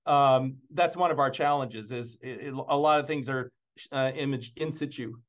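A dull, slightly lo-fi video call carries a man's medium-pitched voice. He presents slides informally as a staff presenter. His phrasing is halting and he often repeats words as he goes. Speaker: presenter, museum imaging department staff. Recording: dull, band-limited video call audio.

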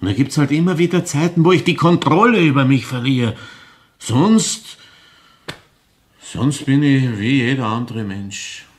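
An actor playing an unrepentant murderer with an Austrian accent, brooding and blunt, in a radio crime drama. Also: male voice, mid-range voice, unhurried, close to an everyday voice. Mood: sullen, defensive. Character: confessing murderer, hateful, unrepentant, self-excusing.

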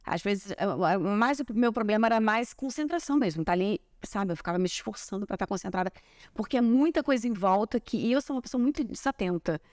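A woman's voice: medium pitch, fast. A woman in her forties from Brazil chats animatedly about her acting life, gesturing as she talks. Her voice sits in a middle register. The clip-on mic gives a dry, close sound with no room echo. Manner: chatty, animated storytelling with hand gestures. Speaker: actress and guest. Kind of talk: relaxed interview chat. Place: large bright living room, seated on a sofa. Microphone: small clip-on mic on collar.

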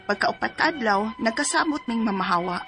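A timid young maid from Cebu province , played by a woman in her twenties, tells her story and begs forgiveness. Her voice is high and bright, and her manner is soft and apologetic. A light wash of music sits under the radio drama. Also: expressive, brisk. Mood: somber. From Cebu province, Philippines. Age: 20s. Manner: pleading, apologetic. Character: 20-year-old woman helper from the province, timid. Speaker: letter-writer narrator and lead. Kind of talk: radio drama acting and narration.

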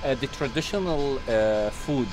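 Young man, interviewee, calm and thoughtful, music playing nearby. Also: mid-range voice, measured pace, rough recording. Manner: calm, thoughtful, some hesitation. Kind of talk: casual chat. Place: outdoor café at night.

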